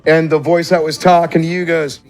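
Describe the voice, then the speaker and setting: A man's voice: on the high side, level tone, a little fast, a little mellow, soft background music. A man running a tabletop game as game master, narrating in a conversational, descriptive way.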